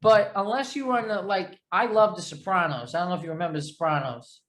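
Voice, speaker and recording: A man's voice: sits high, fast, a bit bright. A man with a New York area accent hosting a casual interview, chatty and rambling, often poking fun at himself. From New York or New Jersey area. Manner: chatty, rambling, self-deprecating. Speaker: interview host. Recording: echoey room sound.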